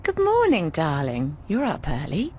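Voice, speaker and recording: A breezy, bawdy landlady from an adventure game. Her voice sits a little low and swings freely up and down, teasing and affectionate with everyone. Some background noise sits faintly under the recording. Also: unhurried, full character voice. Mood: affectionate, concerned. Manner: expressive, teasing, calls people darling. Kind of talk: conversational video game voice acting.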